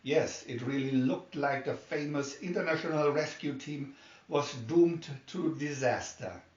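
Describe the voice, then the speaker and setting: A man's voice: fairly high pitch, unhurried. A man reading a scripted adventure story aloud as a narrator. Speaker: amateur reader of a children's story booklet. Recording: dull, roomy, distant microphone.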